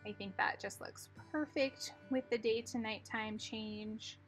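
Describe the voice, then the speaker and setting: A woman calmly explaining each step as she narrates a card-making tutorial. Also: fairly high pitch, measured pace, faint music behind. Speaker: craft tutorial host.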